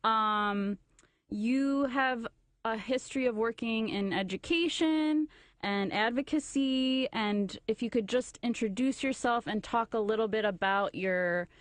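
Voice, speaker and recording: A woman's voice: fairly high pitch, unhurried, dry recording. A woman co-hosting a radio talk show in a studio, friendly and chatty, with loose fillers as she brings in her guests.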